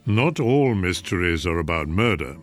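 A man hosting a radio mystery show, reading scripted narration in a measured, dramatic way. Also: sits low, sing-song, soft background music.

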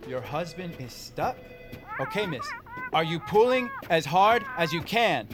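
An actor playing a chatty, eager dispatcher coworker in an audio drama, breezy and teasing. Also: male voice, on the high side, big pitch swings, a little slow, over music.

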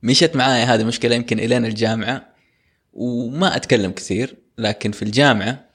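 A young man, co-hosting a casual podcast and telling personal stories in a lively way. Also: medium pitch, fast talker, husky. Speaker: podcast co-host.

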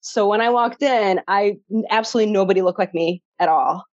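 A woman's voice: medium pitch, fairly quick, darkish tone, no room sound, highs cut off. A woman with a Chicago accent whose first language is Polish, an actress chatting as a guest and laughing often. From Chicago, USA. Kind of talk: casual interview chat.

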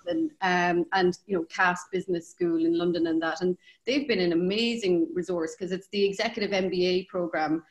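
A woman's voice: lowish voice, fairly even intonation, brisk, hardly any pauses. Chatty woman, stumbling restarts. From Ireland. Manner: chatty, repeats words, many fillers. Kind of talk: casual conversation.

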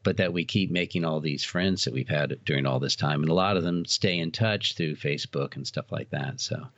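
A man in his sixties with an American accent and a low-pitched voice. He talks as an interview guest, telling stories and explaining things conversationally. The recording is dull and lacking in detail. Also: a little fast, fairly bright tone. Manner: reminiscing, explanatory. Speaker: podcast guest, haunted house operator. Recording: dull, roomy, echoey sound.

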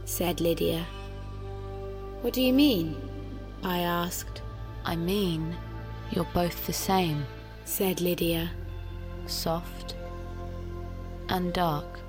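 An actress playing a soft, ominous inner voice that narrates an audio drama in a measured, suspenseful way. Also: female voice, fairly low pitch. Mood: uneasy, intense. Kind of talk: slow, hushed dramatic narration in the first person. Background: music.

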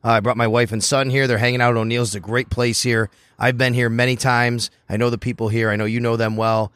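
A man with a hoarse, raspy voice, chatting casually as a podcast guest about his work as a sports reporter, telling stories in a quick, animated way. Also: mid-range voice, dry recording, studio quality. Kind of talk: casual chat. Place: bar or restaurant.

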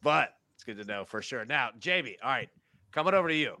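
A man's voice: medium pitch, expressive, brisk, sharp tone, no echo. A man hosting a sports betting show, upbeat and slangy, calling his guests dude and man. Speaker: show host. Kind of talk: casual sports betting chat.

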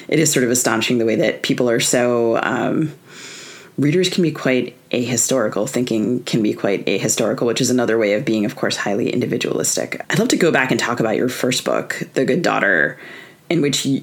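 Guy hosting a book podcast, average pitch, kinda musing and halting as he gets to the question. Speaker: podcast host. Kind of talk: thoughtful literary interview.

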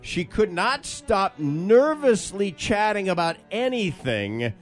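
A male morning radio host with a slightly high voice and very lively, swooping intonation. He banters casually and spins comedic stories, with a faint music bed underneath. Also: slow pace. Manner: animated storytelling. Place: radio studio.

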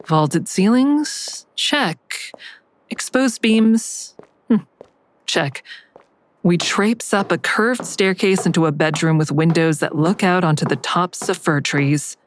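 An actress playing a guarded, wry woman investigator who narrates an audio drama in a measured, dry, understated way. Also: fairly low pitch, slight background noise. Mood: dry, observant. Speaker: lead actor and narrator.

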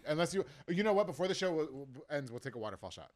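Fast-talking, brash man in his thirties. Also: fairly high pitch, sing-song, a little hiss or hum. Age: 30s. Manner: brash, profane, joking. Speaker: podcast host. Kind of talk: casual chat, interviewing a guest. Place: small room, indoors. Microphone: close studio podcast mic.

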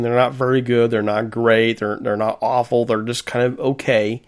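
Podcast host, man, mid-range voice, easy conversational delivery, long rambling questions. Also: medium pitch. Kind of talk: casual podcast chat.

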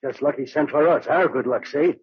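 An actor playing a tough, greedy crooked detective with slangy, sneering delivery in 1940s radio style. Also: male voice, on the high side, brisk, slightly rough. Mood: sneering, amused. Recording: dull old radio broadcast.

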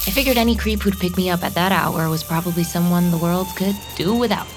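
A woman playing an ageless, jaded female vampire in an audio drama, dry, haughty and deadpan. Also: fairly low pitch, fast, music in the background, lightly acted. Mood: wistful, cool. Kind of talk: naturalistic audio drama acting.

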